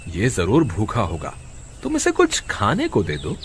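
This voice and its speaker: Guy doing earnest kind farmer in a kids cartoon, lively highish voice, noisy audio. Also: unhurried. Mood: kindly, eager. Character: caring, earnest village farmer in a moral tale. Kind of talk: children's cartoon story dialogue. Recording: noisy background.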